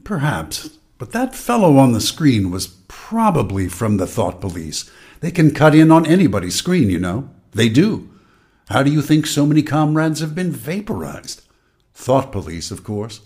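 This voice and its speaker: An actor playing a grave, knowing voice in a radio drama, speaking deliberately and theatrically. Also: male voice, medium pitch, big pitch swings, darkish tone, slightly rough. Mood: needling, insinuating. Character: grave narrator and needling, knowing inner voice. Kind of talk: dramatic radio play narration and acting.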